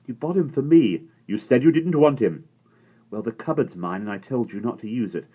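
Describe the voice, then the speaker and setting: A man's voice: fairly low pitch, fast, pitched above the performer's own voice, natural voice. A man voicing an earnest, caring and stubborn young boy. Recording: dull lo-fi recording.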